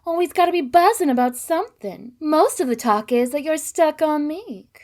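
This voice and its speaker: A woman's voice: sits high, animated, normal speed, darkish tone. A woman playing a proud, teasing young farm girl who speaks in a rural dialect. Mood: sassy teasing.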